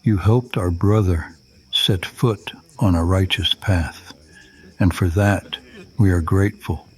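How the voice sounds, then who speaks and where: A solemn, dignified chief in a Western radio drama. The voice is very deep and rough-edged, and he speaks slowly, with grave formality. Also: fairly even intonation, exaggerated voice, rough recording. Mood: solemn, grateful. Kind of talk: old-time Western radio drama acting.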